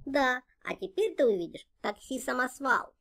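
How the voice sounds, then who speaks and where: A woman voices a friendly little taxi car, a boy character in a children's cartoon. The voice is fairly high and childlike, with an eager, simple delivery. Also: animated, normal speed, a bit bright. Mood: eager, showing off.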